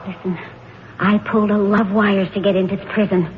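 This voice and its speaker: Muffled, slightly hissy radio thriller: actress as troubled, resolute wife, highish voice, earnest and intense. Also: female voice, little pitch movement, brisk, lightly acted. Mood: urgent, resolute. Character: anguished, determined wife who suspects her husband. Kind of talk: 1970s radio drama acting. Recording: dull, noisy 1970s radio recording.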